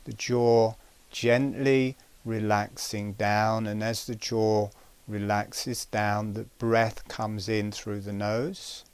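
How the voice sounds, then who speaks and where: Slow, low-voiced man guiding breathing. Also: clean tone. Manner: measured, with pauses between short phrases; calm, gentle. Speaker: breathing and relaxation instructor. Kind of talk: guided breathing exercise instruction.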